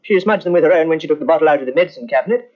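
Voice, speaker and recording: A man's voice: a little high, racing, lightly acted. A man playing a hesitant, offhand police officer. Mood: matter-of-fact. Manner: hesitant, matter-of-fact. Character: offhand provincial police officer, matter-of-fact. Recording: dull old radio transcription.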